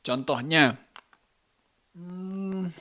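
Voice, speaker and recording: A man talking casually in an unscripted vlog, halting often as he searches for words. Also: on the high side, pushed and bright, dry recording. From Indonesia. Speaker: vlogger and musician.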